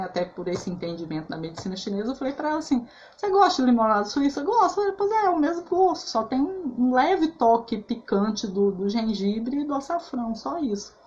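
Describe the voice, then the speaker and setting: A woman's voice: fairly high pitch, a little mellow, slight background noise. A woman therapist explaining food and emotions in a chatty, conversational way, often checking in with "né?" and "tá?" and restarting her sentences. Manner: chatty, frequent "né" and "tá" tags, restarts. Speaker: holistic therapist. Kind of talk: casual explanatory talk.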